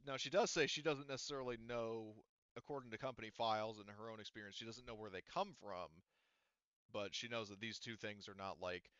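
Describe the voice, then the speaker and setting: A man's voice: lowish voice, fairly bright tone, no echo. A man running a tabletop game as game master, explaining at length with many fillers and restarts. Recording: dull lo-fi sound.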